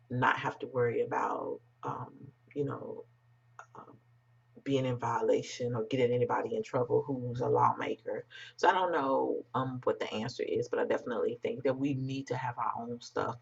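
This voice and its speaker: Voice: medium pitch, vocal fry, slightly rough. An American commentator with an androgynous voice, talking bluntly and emphatically in informal, unscripted remarks to online viewers. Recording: dull, muffled lo-fi sound.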